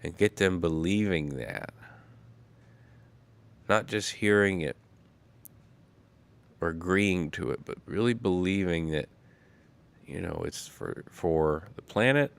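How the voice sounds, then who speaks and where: A man hosting a casual solo livestream, talking in a slow, rambling way with many fillers, a little congested. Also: deep voice, gravelly, clean tone. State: congested after illness. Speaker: livestream host.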